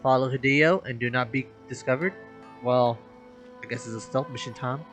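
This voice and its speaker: Slangy mid-pitched male gaming streamer. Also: moderate pace, fairly bright tone, faint music behind. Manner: slangy, exclaiming, talks to the game. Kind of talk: casual gameplay commentary.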